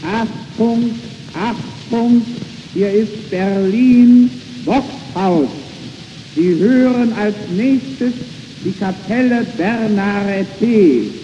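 A man making a formal radio announcement in 1920s style, declaiming in drawn-out words. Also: high-pitched, warm tone. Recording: muffled, noisy 1920s recording with long reverb.

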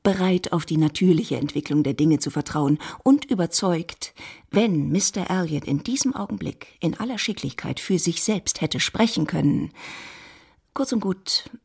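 A woman narrating a literary radio play in a composed, measured, scripted style. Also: on the low side, animated, creaky, darkish tone, slightly rough.

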